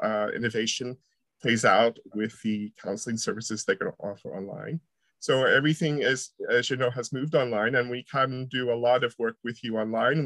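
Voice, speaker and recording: A man's voice: medium pitch, fairly bright tone, no echo. American man answering interview questions. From United States. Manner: hesitant, many uh fillers, friendly laughs. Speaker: university researcher guest.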